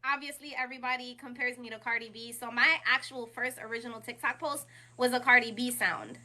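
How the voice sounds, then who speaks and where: A woman in her thirties with a New York accent talks easily as an interview guest. Her voice sits high and very bright. She drops into quick acted-out quotes of herself. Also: a little hiss or hum. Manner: animated, quotes herself in lively asides. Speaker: guest, rapping poet. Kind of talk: casual interview chat.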